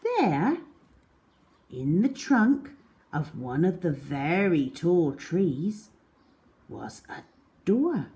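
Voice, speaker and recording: A woman reads a storybook to young children. Her voice sits slightly low for a woman and moves very slowly and deliberately. Her pitch rises and falls in wide, expressive swings, and she stretches out words for effect. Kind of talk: children's storybook read-aloud.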